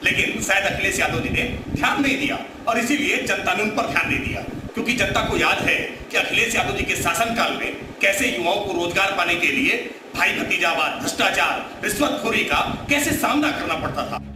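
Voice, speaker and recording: High male voice, echoey and distant. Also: expressive, brisk. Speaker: ruling party spokesman. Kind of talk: political statement. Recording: echoey, distant microphone, clear noise.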